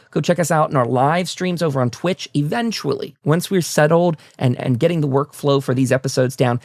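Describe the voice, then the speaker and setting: A man's voice: medium pitch, darkish tone, dry recording, clean studio sound. A man hosting a casual talk show, speaking in an effusive, appreciative manner to guests and listeners. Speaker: host of a fan talk show. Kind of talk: casual interview and sign-off to listeners.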